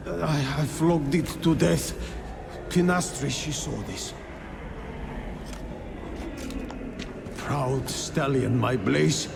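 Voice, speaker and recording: An actor playing the remorseful ghost of a peasant-born squire, mournful and halting, in a video game. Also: male voice, on the high side, creaky, noisy background, full character voice. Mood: tormented. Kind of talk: dramatic video game acting.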